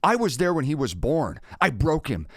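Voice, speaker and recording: An actor playing a proud, middle-aged ranch hand in an audio drama, plainspoken, terse and folksy. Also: male voice, fairly high pitch, sing-song, normal speed, a bit bright, slightly rough, no room sound, studio quality, heavily acted. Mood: defiant, angry. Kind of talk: naturalistic full-cast audio drama acting.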